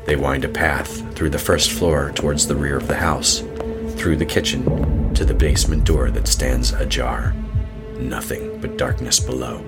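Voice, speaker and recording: A man narrating a scripted horror story in a deliberate, dramatic way. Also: rumbling low, fairly even intonation, creaky. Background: music under the voice.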